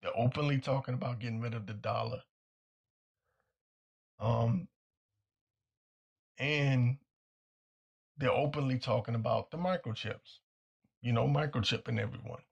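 A man hosting a talk show with a hoarse, nearly gone voice, delivering a blunt and insistent commentary monologue that repeats its points. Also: mid-range voice, unhurried, pauses often, highs cut off. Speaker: podcast host.

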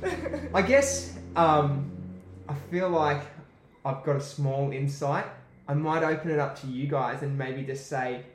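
A man's voice: on the high side, lively intonation, a little slow, slight background noise. An easygoing, upbeat man interviewing podcast hosts, often saying "you know". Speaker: guest interviewer. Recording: roomy echoey room.

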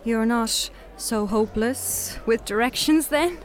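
A woman's voice: a little high, unhurried, faint music behind, some chatter behind, lightly acted. An actress playing a weary, polite Irish woman on a doomed date in an audio drama, dryly guarded. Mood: politely trying.

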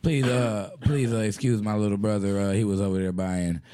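A man hosting a casual radio chat, with a raspy, deep voice, joking around and laughing a lot. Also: fairly even intonation, brisk, mellow. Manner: playful, laughs often, breaks into off-key singing. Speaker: radio show host.

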